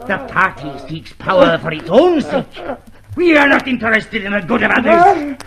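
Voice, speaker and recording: An actor playing a cold, fanatical, domineering party torturer in a vintage radio drama, with theatrical, emphatic menace. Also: male voice, high-pitched, big pitch swings, normal speed, a crowd in the background. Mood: fanatical, exultant. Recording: noisy, humming old radio drama recording.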